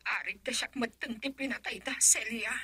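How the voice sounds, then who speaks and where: A high, close and dry young female voice with a Filipino accent. She is the anguished sweetheart of a radio drama, emotional and pleading, torn between love and family. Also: moderate pace, sharp tone, husky, no room sound, heavily acted. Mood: hushed sorrow. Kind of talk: Ilocano radio drama acting.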